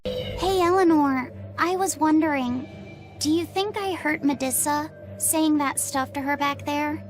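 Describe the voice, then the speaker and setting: Blunt game youngster, very high childlike voice, slow delivery, music behind. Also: female voice. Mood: worried.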